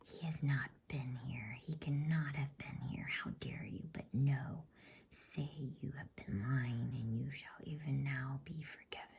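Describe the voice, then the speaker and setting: A woman's voice: rumbling low, measured pace, gravelly, a little mellow, breathy edge, heavily acted. A woman voicing a cruel, haughty witch queen in a whispered bedtime storybook reading. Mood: disbelieving, menacing. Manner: commanding, haughty. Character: imperious, cruel witch queen, cold and accusing.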